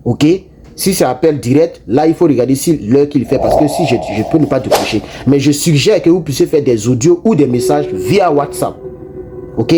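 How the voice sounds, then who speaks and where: A man giving casual political commentary to his online viewers, animated and repetitive, with frequent restarts. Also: medium pitch, normal speed, background noise. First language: not French.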